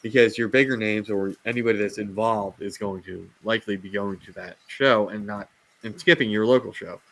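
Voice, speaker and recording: A man's voice: on the low side, steady tone, a little fast, no room sound, rough recording. A man hosting a casual livestream chat about reptiles, rambling with lots of fillers and restarts as he loses his voice. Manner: rambling, rant-prone, many fillers and restarts. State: losing his voice. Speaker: host of a reptile live show.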